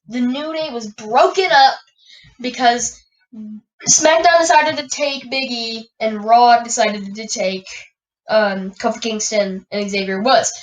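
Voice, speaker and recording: A woman hosting a wrestling podcast, raspy and stuffy from a cold, moving from an announcer-style welcome into loose rambling chat full of fillers. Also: high-pitched, a bit bright, distant mic, lo-fi sound. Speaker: podcast host. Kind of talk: wrestling news commentary.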